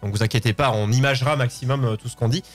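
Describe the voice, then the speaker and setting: A man's voice: medium pitch, rapid-fire. Give me a man hosting, music behind. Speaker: host of a live call-in show. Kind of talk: casual unscripted talk show hosting.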